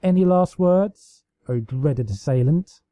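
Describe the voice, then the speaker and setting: A man's voice: a little high, animated, takes it slowly, no room sound, lo-fi sound. A man voicing a regal elder pony princess in a storybook, teasing and mock-menacing as she commands. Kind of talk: amateur storybook character reading.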